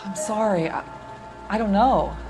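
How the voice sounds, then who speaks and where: Woman acting prim housekeeper, mid-pitched, hesitant, evasive, game drama, clear music underneath. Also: a little slow, dark tone, lightly acted. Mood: guarded, evasive. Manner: hesitant, evasive, primly proper. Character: guarded, flustered female housekeeper, proper yet gossipy. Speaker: domestic worker. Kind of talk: video game cutscene acting.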